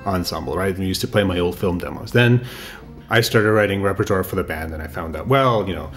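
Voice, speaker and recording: Chatty low-voiced man over music. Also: fairly quick. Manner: relaxed and chatty, with fillers. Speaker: bandleader and composer. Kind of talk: casual interview talk. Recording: voice with loud music behind.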